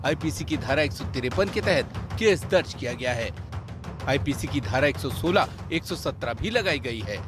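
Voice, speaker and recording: A man's voice: medium pitch, animated, moderate pace. A man reading a scripted television news report as a voice-over. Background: music under the voice-over.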